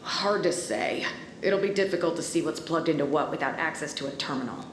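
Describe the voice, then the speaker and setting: An actress playing a brisk, pragmatic colleague coordinating an emergency in an audio drama, terse and dry in manner. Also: female voice, deep voice. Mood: brisk, practical. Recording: roomy echo, faint background noise.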